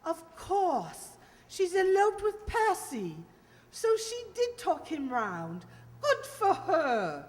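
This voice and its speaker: A woman plays an imperious, breezy English dowager for a radio play. Her high voice swoops grandly and emphatically, ringing in a reverberant hall. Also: wildly swooping pitch, takes it slowly, exaggerated voice. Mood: breezy, triumphant. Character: rich English dowager, breezy, commanding, delighted. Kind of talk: old-time radio drama acting. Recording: echoey hall, long reverb.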